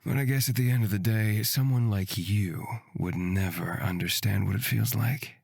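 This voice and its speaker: A woman acts out a bloodthirsty, cocky male warrior from a fantasy visual novel. The voice is deep and rough-husky, recorded close and dry. He drawls his taunts with smug menace. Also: normal speed, darkish tone, no room sound, exaggerated voice. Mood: taunting. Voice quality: low, husky. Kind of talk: visual novel character reading.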